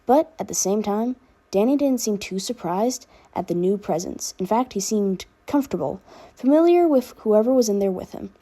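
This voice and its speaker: A woman's voice: mid-range voice, animated, moderate pace, dark tone. A woman reading fan fiction aloud as an amateur narrator. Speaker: podfic reader and narrator.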